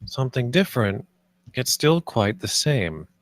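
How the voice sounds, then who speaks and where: A man's voice: medium pitch, very animated, a little slow, no echo. Man reading as an earnest visionary designer, dry sound. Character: earnest, idealistic male theme park designer pitching his vision. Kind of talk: screenplay table read.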